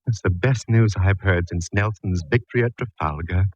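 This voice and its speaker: A man's voice: low voice, normal speed, natural voice. A man playing a theatrical, easily alarmed sidekick in an old radio detective show, with a florid, complaining manner. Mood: relieved. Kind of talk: 1940s-50s radio comedy-drama acting. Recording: muffled, noisy old radio recording.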